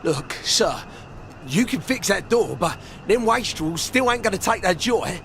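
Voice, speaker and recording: An actor playing a nervous, deferential working-class police constable with an English accent and colloquial, stammering speech. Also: male voice, high-pitched, very animated, measured pace, creaky, fairly bright tone, slight background noise, heavily acted. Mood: nervous, pleading.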